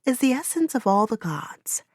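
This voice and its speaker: A woman playing a serene, compassionate goddess-like woman in an audiobook, making solemn, measured pronouncements. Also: a little high, very animated, darkish tone, slightly rough, dry recording, studio quality, voice pushed up, exaggerated voice. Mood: tender, solemn. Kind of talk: audiobook character dialogue.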